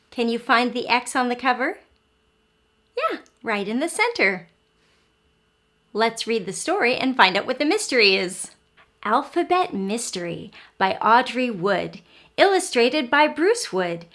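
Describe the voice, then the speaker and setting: Slow, animated woman teaching young children. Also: on the high side. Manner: simple explanations with frequent questions to young children. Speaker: early learning teacher and story reader. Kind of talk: children's phonics lesson and read-aloud.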